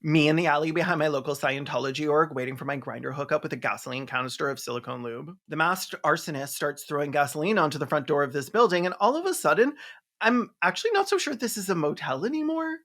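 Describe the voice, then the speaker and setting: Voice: mid-range voice, lively intonation, quick pace, fairly bright tone. A video host delivering sarcastic scripted commentary in a theatrical, mocking manner. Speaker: host of a comedic film review.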